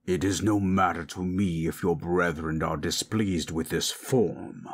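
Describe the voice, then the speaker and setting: A man voicing a proud, possessive forest monster in human shape, gravelly and husky, speaking in stilted, imperious phrases for an audio roleplay. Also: low-pitched. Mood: defiant. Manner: slow, imperious, formal. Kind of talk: dramatic audio roleplay, stilted formal speech.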